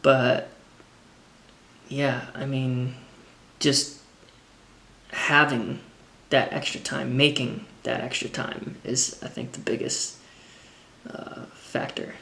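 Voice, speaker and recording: An American man in his thirties talking casually and unscripted, thinking through his answers as a drummer with frequent halting pauses, recorded indoors at a table. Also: mid-range voice, flat delivery, creaky, sharp tone. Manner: halting, thoughtful, pausing to formulate answers. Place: indoors, open kitchen-dining room at a table. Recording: echoey room.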